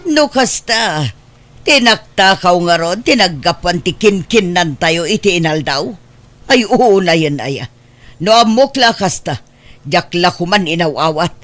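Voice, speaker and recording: A man's voice: high voice, lively intonation, unhurried, a bit bright, soft background music. A man acting a proud, unforgiving aunt in an Ilocano radio drama, scolding harshly and indignantly.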